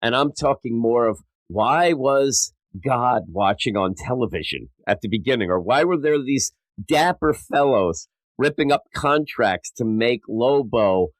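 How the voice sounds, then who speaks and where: A sick, stuffed-up man hosting a podcast in a rambling, self-mocking way, his voice a little rough and wavering. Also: medium pitch, no room sound, low-quality recording. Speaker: podcast host. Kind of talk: casual podcast monologue.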